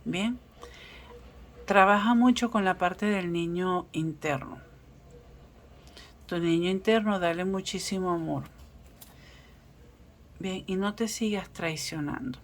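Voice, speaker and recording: Venezuelan woman giving a gentle tarot reading. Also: lowish voice, takes it slowly, pauses often, slight background noise. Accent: Venezuelan Spanish. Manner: warm, reassuring, with frequent fillers and restarts. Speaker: tarot reader. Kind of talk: casual tarot reading monologue.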